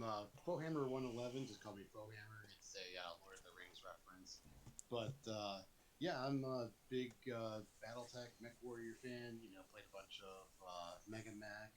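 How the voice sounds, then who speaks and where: A man joining a game stream as a guest player, chatting casually in a relaxed, hesitant way with many filler pauses. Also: mid-range voice, slight background noise, low-quality recording. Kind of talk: casual chat.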